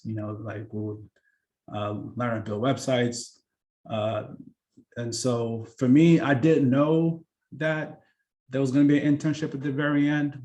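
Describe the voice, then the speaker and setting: A man in his thirties, born in Kenya and raised in Seattle, giving a relaxed, chatty career talk to students with lots of fillers and restarts. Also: medium pitch. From born in Kenya, grew up in Seattle. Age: 30s. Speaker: workshop presenter.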